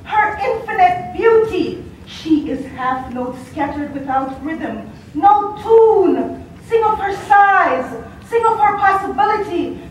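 A woman's voice: extremely high pitch, lively intonation, slow, a little hiss or hum, exaggerated voice. A woman playing a solemn figure in a theatrical stage poetry recitation. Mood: solemn pleading. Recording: very echoey hall from far off.

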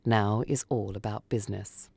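A woman reading a short story for radio in a theatrical, deliberate, dramatic narrating style. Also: extremely low pitch, fairly even intonation, creaky, dark tone, breathy edge, no echo.

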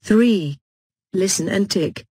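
A woman announcing textbook exercise numbers and titles in a slow, measured way. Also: medium pitch, mellow, slightly rough, dry recording, studio quality.

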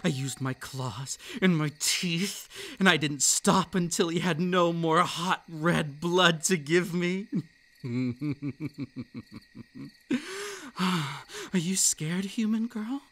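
A man voicing a possessive yandere male kitsune monster for roleplay ASMR. He breaks into unhinged laughter and drops into soft, breathy whispers. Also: fairly high pitch, expressive, very slow, heavily acted. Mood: gleeful. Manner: gleeful, unhinged laughter between lines.

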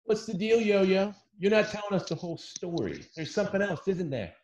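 A man's voice: high-pitched, sing-song, brisk, background noise. A man playing a laid-back, nosy, dim young male model with slangy speech in a comedy table read. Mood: coaxing. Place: at home on a video call. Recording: muffled video call audio.

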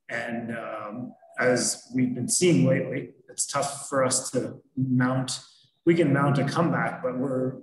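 A middle-aged man, a hockey head coach, answering postgame questions over a video call in a measured, analytical way with frequent fillers. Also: mid-range voice. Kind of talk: postgame press conference answers. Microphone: video call webcam. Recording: roomy echo.

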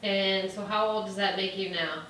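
A woman interviewer asking prepared questions in a gentle manner. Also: medium pitch, steady tone, normal speed, slight background noise. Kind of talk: oral history interview. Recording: very echoey, off-mic from a distance.

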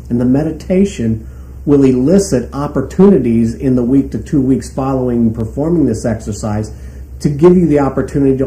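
A man's voice: medium pitch, mellow. A man explaining his ideas as an interviewed author and teacher, in unscripted talk. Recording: echoey room with faint noise.

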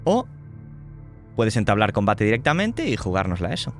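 A man running a tabletop horror game as game master, laying out options in a measured way and checking in often. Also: fairly low pitch, wildly swooping pitch, faint music behind.

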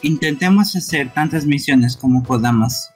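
A man with a husky voice reading game dialogue aloud as an amateur dubber on a stream. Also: mid-range voice, normal speed, faint music behind.

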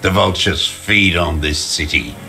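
A sickly old communist zealot from a video game, with an extremely low voice. He speaks slowly and spits out contempt, scorn and bitter accusations. Music plays clearly behind him. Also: pushed and bright, full character voice. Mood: bitter, accusing. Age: older. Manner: scornful, ranting, spitting insults. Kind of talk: dramatic video game voice acting.

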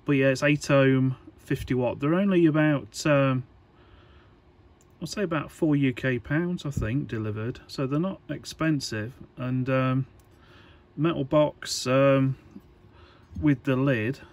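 A man giving an informal hands-on tutorial, talking in a halting, hesitant way as he thinks aloud. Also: medium pitch. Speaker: electronics hobbyist presenter.

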